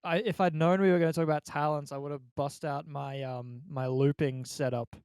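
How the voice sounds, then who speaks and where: A man's voice: a little high, steady tone, a little fast, a little mellow, clean tone, no echo, studio quality. A young man chatting and bantering casually as a co-host on a remote group podcast call. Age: young adult.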